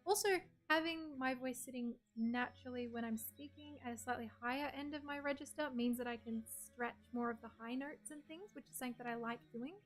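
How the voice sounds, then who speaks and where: An Australian woman with a soft, breathy voice, chatting casually with her stream viewers and reading their messages aloud. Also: a little high, a little fast, few pauses. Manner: chatty, reads chat messages aloud and answers them. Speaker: game streamer.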